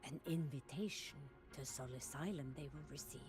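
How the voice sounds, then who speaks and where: A woman's voice: sits low, moderate pace, natural voice. A woman playing a solemn, ceremonious messenger in a video game, speaking formally and cryptically. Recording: music playing behind the voice.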